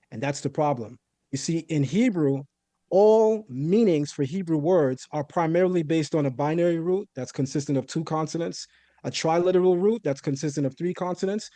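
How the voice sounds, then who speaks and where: A man raised in a Caribbean home explains a point of language step by step, often checking in with "right?". His voice sits fairly high but sounds mellow and dark. The sound is dry and close. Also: no echo. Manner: lecturing, methodical, rhetorical "right?". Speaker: guest debater. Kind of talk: explanatory debate on Hebrew.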